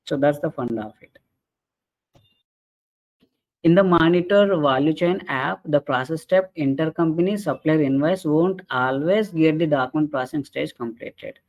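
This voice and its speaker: Higher-pitched man presenting, dull lo-fi audio. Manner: halting, repeatedly checks with "right?" and "okay". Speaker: webinar presenter, software trainer. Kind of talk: explanatory software training webinar.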